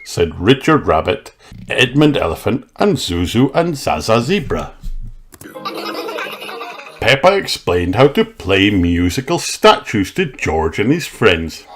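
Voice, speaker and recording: A man reading a children's picture book aloud in a slow, expressive storytelling way. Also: fairly low pitch. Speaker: storybook narrator. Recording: narration over background music. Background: background music.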